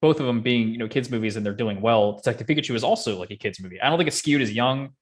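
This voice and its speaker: Young man, medium pitch, casual chat, podcast host. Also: rapid-fire. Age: young adult.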